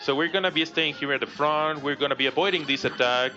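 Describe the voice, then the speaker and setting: A man's voice: fairly high pitch, fast talker. A man from Mexico with a Mexican Spanish accent, his first language Spanish, chatting casually through a live speedrun commentary. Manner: relaxed, chatty, laughs often, reads chat aloud. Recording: dull lo-fi stream audio with game music. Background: game music.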